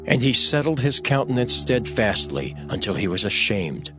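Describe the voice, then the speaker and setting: A man narrating a dramatized scripture audiobook in a solemn, measured, formal storytelling manner. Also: medium pitch, big pitch swings. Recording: dull recording under clear music. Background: music.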